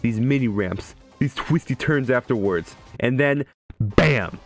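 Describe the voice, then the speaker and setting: A young man narrates a comedic video game review in a casual way. His voice is of average pitch and his delivery is irreverent and profane. Faint music runs behind him. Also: measured pace. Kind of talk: casual comedic scripted commentary.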